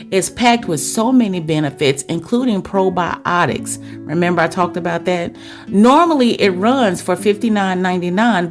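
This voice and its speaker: A woman with a fairly low voice hosts a podcast monologue over clearly audible music. She addresses listeners like close girlfriends, chatty and confiding, tossing out questions as she goes. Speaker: podcast host.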